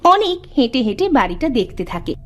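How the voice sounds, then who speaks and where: Female narrator for a cartoon folk tale, higher-pitched voice, scripted storytelling over soft background music. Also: very animated, brisk.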